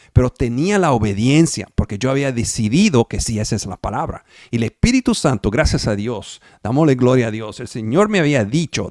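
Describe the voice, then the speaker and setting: A man's voice: mid-range voice, a little mellow, no room sound, clean studio sound. An Italian-born man preaching in Spanish with an Italian accent, emphatic and repetitive, checking whether his listeners follow. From Italy. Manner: emphatic, repetitive, asks the audience if they follow. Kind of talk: sermon over video call.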